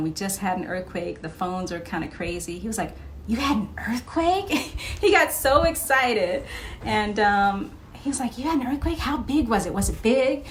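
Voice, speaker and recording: A woman's voice: mid-range voice, a little hiss or hum. A woman telling anecdotes in a casual, chatty way as a guest on a podcast recorded live. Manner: chatty, often laughing as she tells stories. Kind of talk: casual anecdotal interview storytelling.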